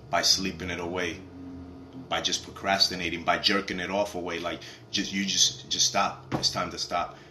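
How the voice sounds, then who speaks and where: A young man with a raspy voice, rambling in a casual motivational talk to camera, often asking "you feel me". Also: low voice, pushed and bright, a little hiss or hum. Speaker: vlogger.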